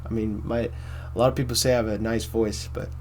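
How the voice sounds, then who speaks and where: A man with a baritone voice hosting a casual philosophy monologue, rambling and repeating himself with many fillers. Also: flat delivery, fast, darkish tone, a little hiss or hum. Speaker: host.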